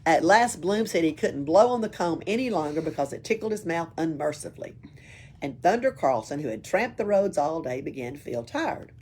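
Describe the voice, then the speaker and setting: A woman's voice: sits low, lively intonation, moderate pace, a little mellow, breathy edge. A woman reading a children's story aloud as a library storyteller. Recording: faint background noise, room ambience.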